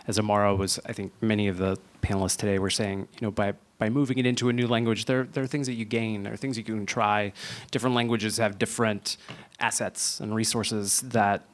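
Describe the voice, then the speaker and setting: Low-voiced man moderating a panel. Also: fairly bright tone.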